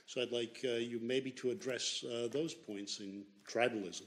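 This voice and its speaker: A man from Austria in the audience, asking a speaker a question in a measured, careful way. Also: mid-range voice, steady tone, slight background noise.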